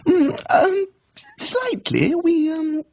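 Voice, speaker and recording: A man voicing an amiable, foppish young gentleman with an upper-class English accent, chirpy and easily flustered, in a comic reading. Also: extremely high pitch, sing-song, drawn-out words, creaky, highs cut off, voice pushed up, full character voice. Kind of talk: dramatised comic audiobook reading.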